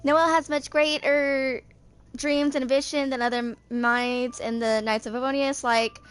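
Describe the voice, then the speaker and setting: A woman's voice: sits high, flat delivery, moderate pace, smooth. A woman in her twenties casually commenting on a game, half talking to herself. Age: 20s. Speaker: gamer video host. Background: game music.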